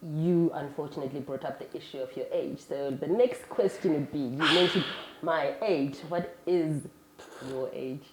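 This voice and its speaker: Female talk show host, deep and mellow, conversational questioning, echoey room with background noise. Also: normal speed. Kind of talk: conversational interviewing.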